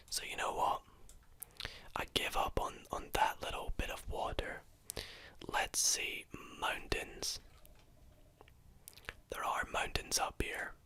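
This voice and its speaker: Host, whispered ASMR game commentary: slow, crisp, musing, thinks aloud. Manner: soft, musing, thinks aloud while exploring. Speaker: video host playing a geography guessing game.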